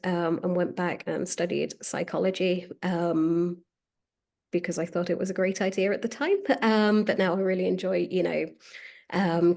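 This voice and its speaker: A woman's voice: on the low side, darkish tone. A woman hosting a webinar as a marketing director, talking casually with lots of "um"s. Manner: chatty, many fillers. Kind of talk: presenting a webinar.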